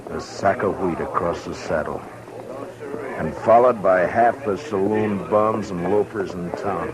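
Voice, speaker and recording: An actor playing a stern, hard-bitten Old West marshal, commanding and blunt, in a 1950s radio drama. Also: male voice, deep voice, measured pace, a crowd in the background. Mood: grim. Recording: noisy old radio broadcast recording.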